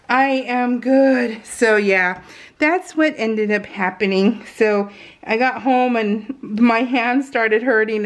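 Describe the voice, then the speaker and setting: A woman's voice: fairly high pitch, a bit bright. A woman vlogger telling a nervous personal story in a casual voiceover, laughing often and restarting her sentences. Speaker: crafting vlogger. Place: home craft room. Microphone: voice-over mic.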